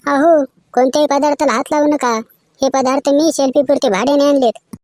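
A woman playing a sharp-tongued, bossy wife in a cartoon comedy skit. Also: high voice, steady tone, a little fast, soft background music, close to an everyday voice. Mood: scolding.

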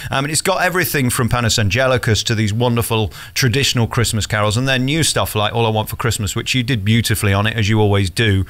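A man's voice: on the low side, quick pace, few pauses, fairly bright tone. A man hosting a jokey interview, cheeky and teasing, generous with compliments. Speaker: radio interviewer. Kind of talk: jokey celebrity interview chat.

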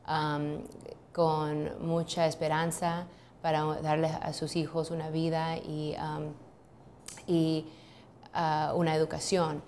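Low, flat-voiced woman lawyer, hesitant. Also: a little hiss or hum. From United States. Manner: hesitant, many ums and restarts. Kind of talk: filmed interview answers. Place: office, seated at a desk.

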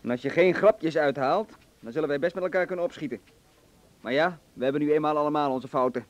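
A man's voice: a little high, fast, close to an everyday voice. An actor playing a jovial, smugly menacing henchman in a radio drama, falsely friendly and prone to chuckling. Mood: gloating joviality.

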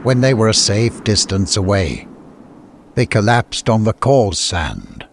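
Male audiobook narrator, lowish voice, scripted adventure storytelling over faint ambience. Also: sing-song, a little slow, background noise.

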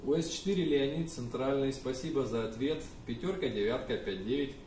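A young man operates a ham radio, making contacts with spelled-out call signs. He is heard from a distance in an echoey room, with faint noise behind him. His pitch is ordinary for a man. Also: fairly quick, a little mellow. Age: young adult. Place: small indoor room with radio desk and computers.

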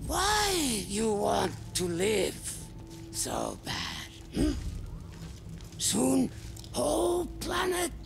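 A weary, ancient alien native from a video game, resigned yet gentle. The speech crawls along in cryptic broken English, swinging widely in pitch. It creaks heavily and thins into breath, with music underneath. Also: huge pitch swings, extremely slow, heavily acted. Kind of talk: video game cutscene acting.

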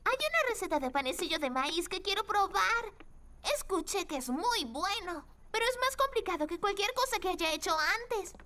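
A woman voicing a sweet, earnest little girl heroine in an animated children's series dub, with expressive, emphatic delivery. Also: extremely high pitch, normal speed, exaggerated voice. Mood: cheerful, excited. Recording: music bed behind the voice.

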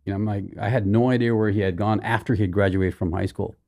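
A man's voice: lowish voice, steady tone, fast talker, dark tone. A middle-aged man from Hawaii telling his own story casually as a podcast guest on a studio mic. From Hawaii, born in the Philippines. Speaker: podcast guest, military veteran. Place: small indoor podcast studio. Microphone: studio mic on boom arm.

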